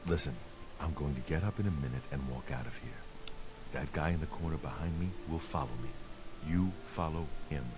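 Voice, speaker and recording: An actor playing a secretive man in a fantasy video game, giving covert instructions in a hushed, clipped, commanding manner over background noise. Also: rumbling low, brisk. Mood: covert, urgent. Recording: quiet game audio under clear background noise.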